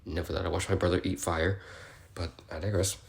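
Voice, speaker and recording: A man's voice: low voice, level tone, brisk, creaky. A man playing an awkward, curious, bookish researcher recording an audio log, explaining in a hesitant, rambling, politely apologetic way. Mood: hesitant, explanatory. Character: male researcher logging species notes, awkward, curious, bookish. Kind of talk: in-character audio log, semi-improvised explaining.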